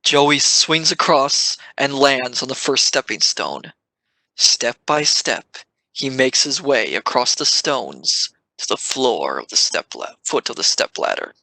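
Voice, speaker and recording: Radio play narrator, unhurried, bright forceful tone, dry, dramatic. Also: medium pitch, lively intonation, gravelly, no echo, low-quality recording. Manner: dramatic, stressing sudden events.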